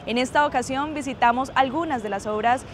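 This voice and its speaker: High-voiced young Colombian woman presenting news. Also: brisk. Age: 20s. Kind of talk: scripted news presentation to camera. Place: outdoors in a city plaza. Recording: clear outdoor city and traffic noise.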